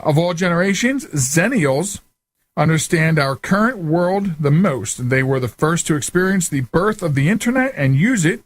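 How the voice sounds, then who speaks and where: A middle-aged man hosting a casual radio talk show, speaking informally with loose, halting asides into a close microphone. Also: fairly high pitch, no room sound. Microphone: close studio microphone.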